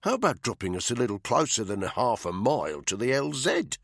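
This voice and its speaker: A man's voice: lowish voice, big pitch swings, a little slow, a bit bright, no echo, clean studio sound, voice pushed up, exaggerated voice. A man voicing Tony, a sneering, bullying male unit commander who talks crudely. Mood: scornful.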